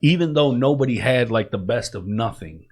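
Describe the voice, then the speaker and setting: A man hosting a casual podcast, talking in a relaxed, slangy way and often checking in with "you know what I'm saying". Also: mid-range voice, normal speed. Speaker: podcast host.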